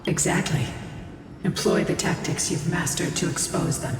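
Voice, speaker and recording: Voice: medium pitch, moderate pace, very echoey, long reverb tail, noisy background, lightly acted. An actor voicing an ancient, solemn guardian in a video game, formal and dutiful.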